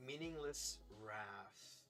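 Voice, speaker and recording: A streamer who is hoarse and somewhat sick, talking casually over a game and swearing freely. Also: medium pitch, animated, very slow, fairly bright tone, soft background music.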